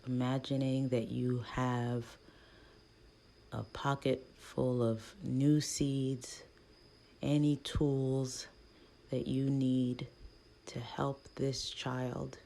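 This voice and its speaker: A woman leading a guided meditation in a gentle, hushed manner, speaking in short soothing phrases with long pauses between them. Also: a bass voice, little pitch movement, vocal fry.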